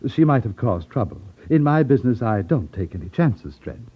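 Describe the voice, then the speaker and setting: Suave elderly racketeer, vintage radio drama: exaggerated politeness over menace, very lively pitch, phone-like old-broadcast sound. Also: male voice, normal speed, husky. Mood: brisk, businesslike. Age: older. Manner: over-polite, fussy, hesitant ums, condescending. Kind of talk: 1950s radio drama acting. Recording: tinny, narrow-band vintage radio recording.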